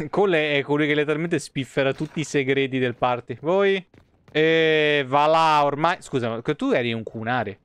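Roman guy streamer, muttering slangy asides, fairly high clean voice, close dry mic. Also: moderate pace, fairly bright tone, clear, resonant tone, no room sound. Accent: Roman Italian. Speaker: game streamer. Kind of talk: casual live gameplay commentary. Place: small room, indoors.

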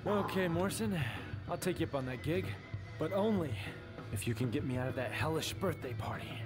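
A man's voice: on the high side, lively intonation, brisk, lightly acted. A man playing a cocky, weary demon hunter for hire in a video game cutscene, with a sardonic, laid-back drawl. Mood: wry banter. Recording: music behind the voice.

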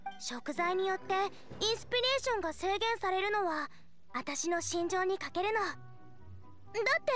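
A woman's voice: very high-pitched, sharp tone, exaggerated voice. A woman voicing a spirited teenage girl chef in an anime-style game, earnest, confident and girlish. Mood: insistent. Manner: earnest, girlish, plain-spoken.

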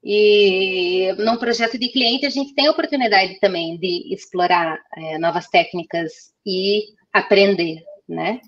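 A woman's voice: medium pitch, a little slow, few pauses. Chatty young woman on a headset call. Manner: chatty, many fillers and restarts. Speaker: guest designer. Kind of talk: casual video-call interview. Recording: slightly dull lo-fi call audio.

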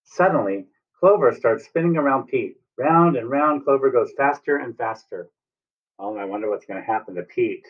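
A man's voice: mid-range voice, lively intonation, moderate pace. An American man in his fifties reading a picture book aloud for young children as a teacher, asking questions and explaining simply. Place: room at home, indoors. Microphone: computer webcam at arm's length or more. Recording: distant, muffled webcam sound.

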